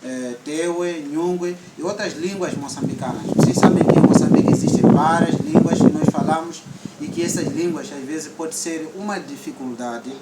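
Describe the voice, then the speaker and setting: A man's voice: fairly high pitch, moderate pace, echoey room, far from the mic, heavy background noise. A man from Mozambique with a central Mozambican accent, hosting a casual vlog chat and switching between English and Portuguese with many fillers. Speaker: vlog host.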